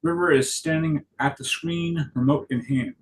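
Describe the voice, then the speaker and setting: Higher-voiced man reading stage directions, some noise. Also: steady tone, low-quality recording. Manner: halting, self-correcting. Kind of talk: scripted narration at a table read. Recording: clear background noise.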